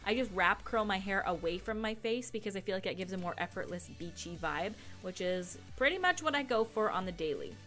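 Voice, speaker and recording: A woman's voice: medium pitch, expressive, brisk, few pauses, fairly bright tone. Chatty woman over music. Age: young adult. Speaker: beauty vlogger. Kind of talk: casual tutorial voice-over. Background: background music.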